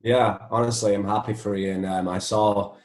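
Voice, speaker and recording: A Scottish man, a musician being interviewed, telling anecdotes casually in a rambling, animated way. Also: lowish voice, moderate pace, reverberant. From Scotland.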